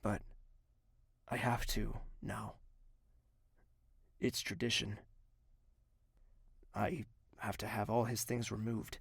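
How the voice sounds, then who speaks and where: An actor playing a weary, grieving young king in an audio drama, speaking in a measured, introspective, hushed way. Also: fairly low pitch, level tone, vocal fry, breathy edge, dry recording, studio quality, heavily acted. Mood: grieving, subdued. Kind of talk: dramatic first-person narration and dialogue in audio drama.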